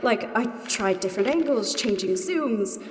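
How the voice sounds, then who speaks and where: A woman's voice: medium pitch, expressive, a little slow, slight background noise. A woman playing a chatty, wry two-hundred-year-old ghost in love, in a comedy audio drama, with a ghostly reverb on her voice. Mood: amused, excited. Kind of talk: mock dating testimonial in comedy audio drama. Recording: long echoing reverb on the voice.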